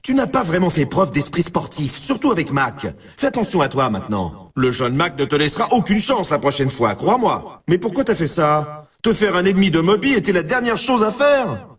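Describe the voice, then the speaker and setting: An excitable snowboard race announcer for a video game. His voice sits high and swings widely in pitch, heard from a little way off the mic. He is emphatic and familiar, needling and warning the player like a hyped sports commentator. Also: moderate pace, husky, distant mic. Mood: teasing warning.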